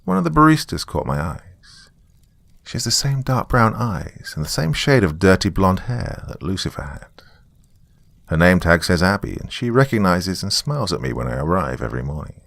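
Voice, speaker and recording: A man's voice: low-pitched, big pitch swings, moderate pace, creaky, a bit bright, no room sound. A man who narrates horror stories and hosts, speaking as a storyteller. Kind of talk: dramatic first-person story narration and casual sign-off.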